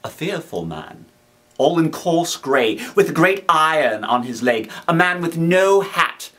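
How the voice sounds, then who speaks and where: Expressive higher-voiced man reading literature. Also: measured pace, recorded from a distance. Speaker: reader. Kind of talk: dramatic literary reading, first-person narration.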